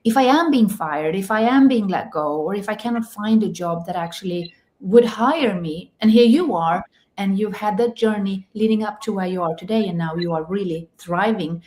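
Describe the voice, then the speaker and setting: Woman host with halting phrasing. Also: medium pitch. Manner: warm, slightly halting, repeats words. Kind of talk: hosting a live online talk.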